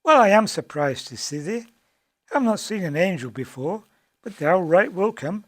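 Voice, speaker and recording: A kindly old housewife, performed by a man in a comic monologue. The voice is fairly high, homely and welcoming, in broad dialect. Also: very animated, normal speed.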